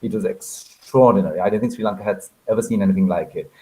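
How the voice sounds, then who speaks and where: A man's voice: fairly low pitch, fast talker, darkish tone, poor audio. A man hosting an online author conversation, chatty and genteel in his phrasing. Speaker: moderator of a literary event.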